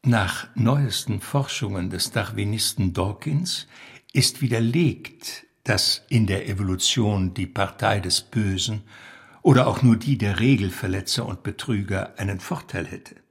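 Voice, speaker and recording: Male narrator for literary essay: slow, measured, creaky low voice. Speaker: narrator reading literary prose.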